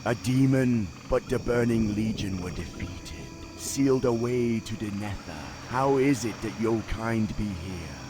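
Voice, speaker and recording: A man plays a fierce troll leader from a game, fairly low in pitch. He speaks with authority in a folksy dialect, over loud noise with chirping insects. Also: measured pace, hardly any pauses, natural voice. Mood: demanding. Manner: commanding, folksy dialect grammar. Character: fierce, loyal male troll chieftain. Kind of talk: fantasy game cutscene acting.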